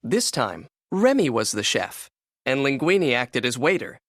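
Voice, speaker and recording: Male narrator for kids' read-along book, animated delivery with wide pitch movement, dry recording. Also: unhurried, no room sound. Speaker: storybook narrator.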